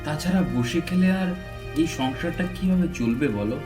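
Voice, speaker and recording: A man's voice: fairly high pitch, lively intonation, moderate pace, over music, lightly acted. A man playing a careworn, well-meaning old village husband and father in a cartoon folk tale, earnest and plaintive. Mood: troubled.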